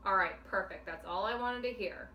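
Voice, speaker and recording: A calm, patient woman guiding a client through a counseling exercise, explaining each step clearly. Her voice is recorded from a distance in a roomy, echoing space, with a faint background hiss. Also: medium pitch, moderate pace. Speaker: clinician. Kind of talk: demonstration counseling session. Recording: echoey room, mic at a distance, faint noise.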